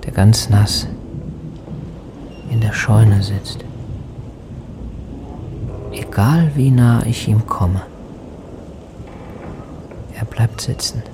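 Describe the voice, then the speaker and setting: An actor playing a haunted man in a radio drama, remembering in halting, hushed, dreamlike fragments. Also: lowish voice, noisy background. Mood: haunted, entranced. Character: haunted man recalling a strange stormy night. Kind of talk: slow poetic radio drama monologue. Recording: rain and thunder behind voice. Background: rain and thunderstorm.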